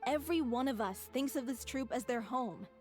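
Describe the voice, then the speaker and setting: A woman's voice: high voice, moderate pace, a little mellow, soft background music, natural voice. A woman voicing an earnest, heartfelt actress from a theater troupe in a game.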